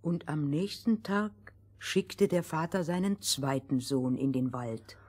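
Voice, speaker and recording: A woman narrating a scripted fairy tale for children in a deliberate, schoolmistressly manner. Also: sits low, darkish tone, husky, full character voice. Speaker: first storyteller.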